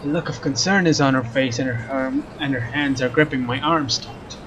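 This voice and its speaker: A man's voice: mid-range voice, normal speed, a bit bright, a little hiss or hum. A young man doing a let's play, reading story text dramatically and commenting casually. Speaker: let's player. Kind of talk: dramatic read-aloud with casual gameplay commentary. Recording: slightly dull mic over game music. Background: faint game music.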